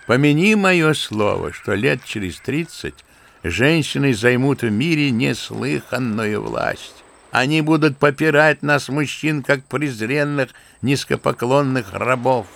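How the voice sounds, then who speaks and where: Old sentimental general for an audio drama, gravelly, fatherly and passionate. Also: medium pitch, expressive, measured pace, a bit bright. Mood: musing fervent. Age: older. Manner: fervent, musing, fond and paternal. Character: old kindly sentimental general, grandfather figure. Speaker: actor in audio play. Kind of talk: theatrical radio play acting.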